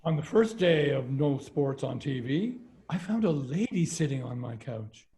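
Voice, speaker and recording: A man's voice: medium pitch, lively intonation, unhurried, mellow. A man performing a reading of jokes and short comic stories over a video call. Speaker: readers theater performer.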